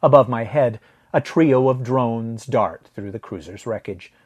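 A man reading first-person fiction as a narrator, in a measured, grave storytelling manner. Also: mid-range voice, a little mellow, husky. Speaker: audiobook narrator.

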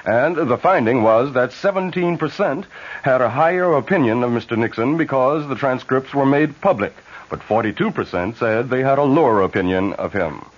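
A man's voice: medium pitch, animated, moderate pace. A man with an American accent reading a news bulletin on 1970s radio. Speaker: radio newsreader. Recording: muffled vintage AM radio recording.

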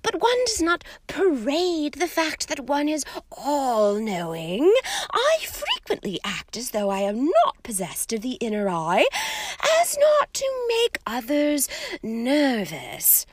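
A woman reading aloud and voicing a theatrical old woman seer with a breathy, faraway whisper and dramatic, ominous pronouncements. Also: high voice, huge pitch swings, takes it slowly, dry recording, pitched above the performer's own voice, heavily acted. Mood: haughty. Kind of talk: storybook reading.

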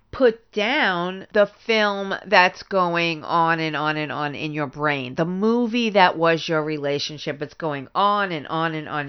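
A woman hosts a solo advice podcast, talking directly to her listeners in an informal, explanatory way. She speaks slowly in a smooth, clear voice that sits a little low. The recording is slightly dull. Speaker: podcast host; grief therapist and author.

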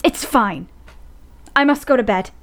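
Spirited young writer girl, high voice, earnest n proud, old timey audio play. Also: measured pace. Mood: defensive. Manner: expressive, earnest. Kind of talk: period audio drama acting.

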